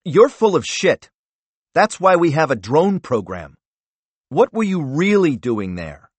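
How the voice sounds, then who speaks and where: A man's voice: medium pitch, big pitch swings, moderate pace, dry recording, pitched above the performer's own voice, lightly acted. A man voicing an arrogant, bullying middle-aged senator who barks and interrupts. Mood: angry. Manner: barking, condescending, interrupting.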